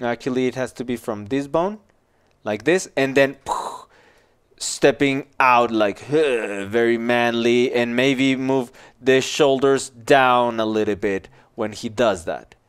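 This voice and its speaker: A man in his forties giving an informal software tutorial, explaining casually step by step into a headset microphone in a small office. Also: medium pitch, slow pace, smooth. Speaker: animation tutorial instructor.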